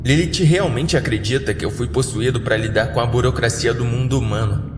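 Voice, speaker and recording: A man with a Brazilian accent narrating a scripted first-person horror story in a dramatic, deliberate way. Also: medium pitch. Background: background music.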